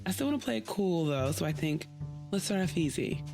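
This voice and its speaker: A woman's voice: fairly low pitch, very animated, fairly quick, creaky, music in the background. A woman hosting a podcast, narrating her story in a wry, conversational way with playful asides.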